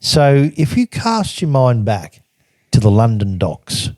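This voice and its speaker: A man's voice: mid-range voice, wildly swooping pitch, takes it slowly, darkish tone, dry recording. An older man reading from his old travel journal and telling the stories behind it in a casual, chatty way, laughing often. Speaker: podcast guest recounting travels.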